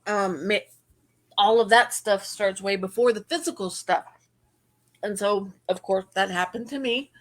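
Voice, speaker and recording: A woman's voice: mid-range voice, measured pace. A middle-aged woman co-hosting a podcast, talking in earnest, run-on explanations in casual chat. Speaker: podcast co-host.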